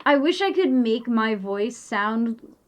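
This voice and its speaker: A young woman talks casually on a live stream with a somewhat high voice. She rambles, leans on "like" fillers and swears now and then. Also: lively intonation, takes it slowly.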